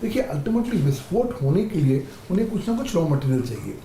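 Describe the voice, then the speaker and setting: Male interview expert, deliberate and formal, soft dark tone, roomy echo with light background noise. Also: a little high, big pitch swings. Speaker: cyber security expert guest. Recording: echoey room with faint noise.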